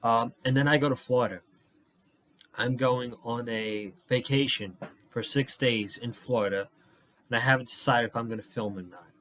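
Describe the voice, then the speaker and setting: A young man reviewing a wrestling show on his channel, losing his voice and worn out, talking excitedly with a lot of swearing and rambling. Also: mid-range voice, normal speed. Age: young adult. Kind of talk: casual solo review vlog.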